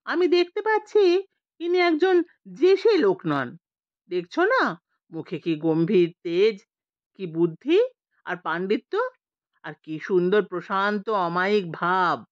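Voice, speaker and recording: A woman's voice: medium pitch, big pitch swings, slow pace, pauses often, no echo, dull audio. A woman voicing a solemn, pompous old male village priest, making grave, reverent pronouncements in an audio story. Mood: solemn reverence.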